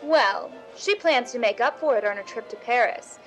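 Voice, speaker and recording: A woman's voice: a little high, lively intonation, moderate pace, a bit bright, faint music behind, low-quality recording. A woman playing a chirpy 1950s Hollywood fashion reporter, giving polished, gushing scripted radio ad patter.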